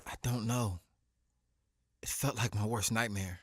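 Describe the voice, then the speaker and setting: A man playing a shaken, weary young companion in an animated adventure. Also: fairly low pitch, unhurried, breathy edge, no room sound.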